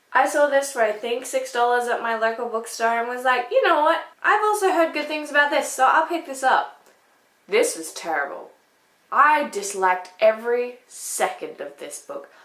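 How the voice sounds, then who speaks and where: A woman's voice: on the high side, fairly bright tone. A woman book vlogger chatting casually to camera, emphatic, often repeating words to make her point. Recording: echoey room.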